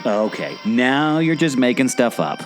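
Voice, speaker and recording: A man playing an excitable, skeptical, wisecracking amateur explorer in a scripted audio drama, with a theatrical, jokey delivery. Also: mid-range voice, a little slow, faint music behind. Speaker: co-host of a theme park podcast.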